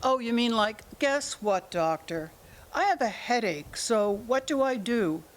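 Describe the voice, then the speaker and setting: A woman's voice: fairly high pitch, expressive, unhurried. A woman playing an evasive, argumentative older mother in denial about her son, in a staged drama reading. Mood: defensive. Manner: deflecting, argumentative, talks in analogies. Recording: noisy room ambience.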